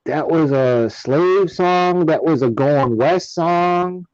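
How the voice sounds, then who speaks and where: An easygoing man chats casually, a touch higher-pitched than most men. His voice comes through dry and a little dull, as if over a lo-fi line. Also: takes it slowly, darkish tone, no echo. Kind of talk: casual conversation.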